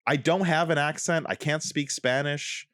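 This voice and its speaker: A young man co-hosting a podcast in casual, animated chat, rambling and restarting his sentences. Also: a little high, moderate pace, clean tone, dry recording, clean studio sound. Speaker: podcast co-host.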